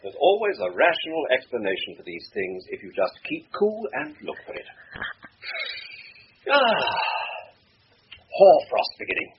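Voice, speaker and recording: An actor playing a rational, dismissive middle-aged English husband in a brisk, emphatic old-time British radio drama style. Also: male voice, on the high side, huge pitch swings. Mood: cosy, contented. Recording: muffled, hissy old radio recording.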